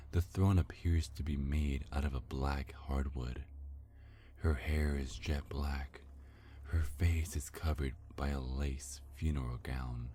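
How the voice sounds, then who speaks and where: A man's voice: very deep voice, mellow, slight background noise. A man narrating a scripted ASMR fantasy story as its storyteller.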